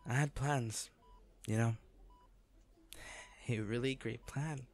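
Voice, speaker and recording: A man playing an injured, devoted boyfriend in an intimate audio roleplay, speaking tenderly, earnestly and haltingly. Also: medium pitch, steady tone. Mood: wistful, tender. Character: injured, devoted boyfriend proposing from a hospital bed.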